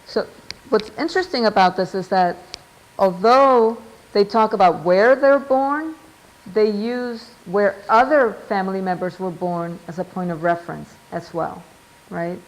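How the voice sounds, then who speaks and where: A middle-aged woman giving an academic seminar talk, measured and thoughtful, often pausing with "um" and checking in with "right?". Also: mid-range voice, rough recording. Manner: measured, reads out quotes, frequent ums and "right?". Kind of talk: academic lecture.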